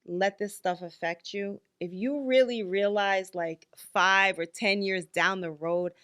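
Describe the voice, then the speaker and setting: A woman, co-hosting a podcast in a frank, unfiltered way. She talks at a middling pitch and leans on fillers as she thinks aloud. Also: steady tone, unhurried, a bit bright, smooth. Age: 50s. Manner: candid, blunt, many filler likes. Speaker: podcast co-host. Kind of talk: casual chat.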